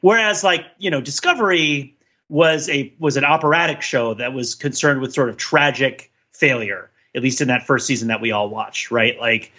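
Bright-voiced man chatting, slightly muffled audio. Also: mid-range voice. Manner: halting, digressive, self-correcting. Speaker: podcast co-host. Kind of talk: casual pop culture discussion.

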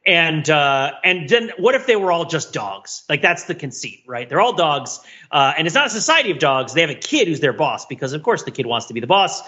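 A man co-hosting a podcast, talking casually and digressively about films in an animated, wryly analytical way. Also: fairly high pitch, brisk, highs cut off. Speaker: podcast co-host. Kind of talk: casual film discussion, rambling commentary.

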